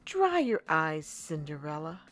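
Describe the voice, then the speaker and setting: A woman voicing a kindly fairy godmother in a storybook, gentle, reassuring and purposeful as she gives instructions. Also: deep voice, sing-song, a little slow, soft background music.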